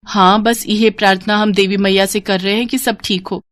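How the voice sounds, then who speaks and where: A woman's voice: medium pitch, little pitch movement, moderate pace, natural voice. A young Bihari daughter-in-law in a bedtime tale, acted by a woman. She sounds earnest and eager to please, speaking politely and apologetically in a Bihari accent. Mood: prayerful.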